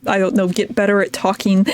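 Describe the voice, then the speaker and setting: A woman's voice: mid-range voice, darkish tone. A woman streamer, a native English speaker, chatting shyly while playing a game; she gets tongue-tied and giggles often. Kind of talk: casual game commentary.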